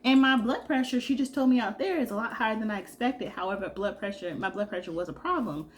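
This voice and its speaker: A sick, congested young woman from Georgia chatting casually in a vlog, telling a story in an animated way and acting out what people said. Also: on the high side, fast talker, noisy background. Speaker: vlogger.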